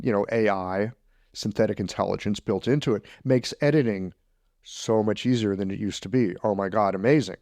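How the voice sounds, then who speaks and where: A man's voice: on the low side, darkish tone, no room sound. Man hosting a podcast in a dry booth. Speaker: podcast host. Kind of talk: podcast interview.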